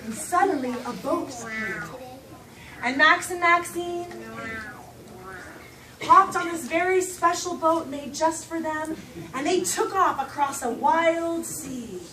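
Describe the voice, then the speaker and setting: A woman telling a children's puppet story live, with dramatic, drawn-out emphasis. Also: very high-pitched, crowd noise. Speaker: storyteller leading a puppet show for young children. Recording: echoey room, distant mic, children around.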